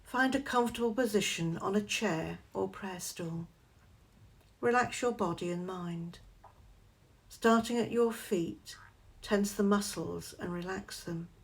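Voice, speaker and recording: Female meditation guide, medium pitch, very unhurried scripted delivery, gentle and measured. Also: vocal fry.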